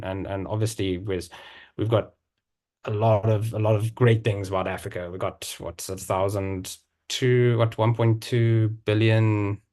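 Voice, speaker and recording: Male panelist, low-ish voice, lots of repeated words and restarts. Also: darkish tone. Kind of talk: panel discussion.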